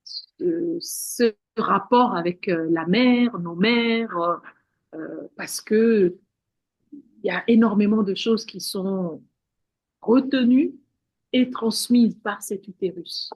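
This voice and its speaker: An earnest woman guest talks at an ordinary middle pitch. She loops over her words and restarts her phrases. The sound is close and dry. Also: takes it slowly, a little mellow, no echo, poor audio. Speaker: guest, women's wellness practitioner. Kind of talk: spiritual interview talk.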